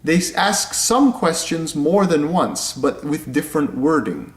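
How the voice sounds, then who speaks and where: Young guy from indonesia vlogging, super slow and careful, keeps fixing his words, echoey room. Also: a little high, lively intonation. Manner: slow, deliberate, repeats and corrects himself. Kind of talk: casual explanatory vlog.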